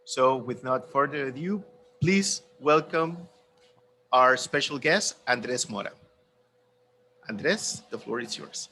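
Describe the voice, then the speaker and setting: A man's voice: medium pitch, slow pace, long pauses, pushed and bright, a little hiss or hum. A man acting as host, reading a formal introduction of a guest speaker. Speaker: event host.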